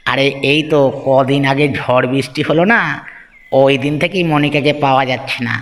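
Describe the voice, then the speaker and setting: A man voicing a worried, pleading village uncle, earnest and animated, in a moral-story cartoon. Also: medium pitch, fairly quick, natural voice. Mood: friendly. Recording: long hall reverb, music underneath.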